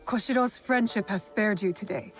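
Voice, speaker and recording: A woman acts a stern young fighter in a video game. Her mid-pitched voice is firm and to the point. Music plays faintly behind her. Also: normal speed, close to an everyday voice. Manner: firm, direct. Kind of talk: video game cutscene acting.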